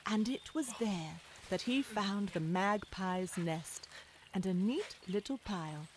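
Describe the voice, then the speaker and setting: A woman's voice: medium pitch, expressive, slow, noisy background. A woman acting as narrator, reading scripted storybook narration in a children's audio drama. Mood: calm.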